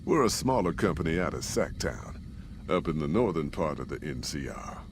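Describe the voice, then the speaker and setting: An American actor playing a gruff, folksy caravan boss in a post-apocalyptic video game, with plain-spoken frontier drawl. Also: male voice, very deep voice, big pitch swings, moderate pace, noisy background. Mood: matter-of-fact. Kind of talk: scripted video game character dialogue.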